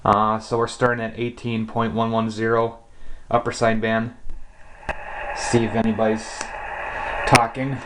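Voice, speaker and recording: A man's voice: lowish voice, little pitch movement, unhurried, long pauses, a bit bright, rough recording. A man giving a casual radio hobbyist demonstration, informally thinking aloud, recorded indoors on a cheap camera mic. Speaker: amateur shortwave radio hobbyist and presenter. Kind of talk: casual hands-on demonstration vlog. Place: indoor room with radio equipment. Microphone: camera's built-in mic. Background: shortwave radio hiss and clicks.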